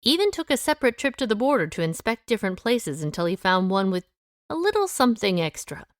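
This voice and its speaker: A woman's voice: mid-range voice, big pitch swings, quick pace, no room sound, studio quality, natural voice. An actress playing a shrewd, world-weary 1920s woman sleuth in an audio drama, knowing and matter-of-fact. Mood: shrewd, accusing. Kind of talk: audio drama dialogue and first-person narration.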